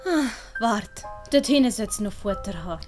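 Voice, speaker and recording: A woman with a Swiss accent voicing an emotional, earnest young servant woman in an audiobook, plaintive and expressive. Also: mid-range voice, normal speed, natural voice. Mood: hopeful, tender. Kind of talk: audiobook character acting. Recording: loud background music.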